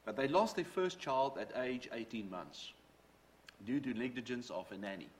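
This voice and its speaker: Brief: middle-aged male preacher, Dutch mother tongue, earnest testimony, wide swooping pitch. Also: animated, normal speed. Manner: earnest, storytelling, quotes from books. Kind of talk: sermon with personal testimony.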